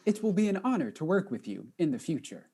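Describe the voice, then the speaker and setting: A woman playing a polite, earnest robot chief with formal, measured speech in a comedic audio drama. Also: fairly low pitch, big pitch swings, mellow, breathy edge, heavily acted.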